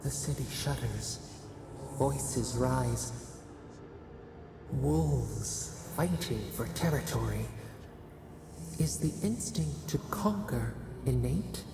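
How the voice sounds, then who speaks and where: An ancient game spirit broods in cryptic fragments, drawn out extremely slowly. The voice is heavily gravelled and creaky, solemn and otherworldly. It rings in a long, cavernous reverb over music. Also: medium pitch, expressive, exaggerated voice. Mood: brooding, solemn. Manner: slow, halting, cryptic short phrases. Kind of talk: solemn video game narration.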